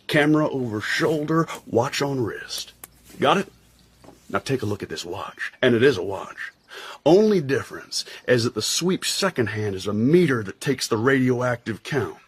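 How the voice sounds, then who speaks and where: An actor playing a jaunty, irreverent American intelligence agent in a radio drama, husky and slangy, with an American accent. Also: male voice, mid-range voice, animated, normal speed. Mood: brisk, wisecracking. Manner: slangy, wisecracking.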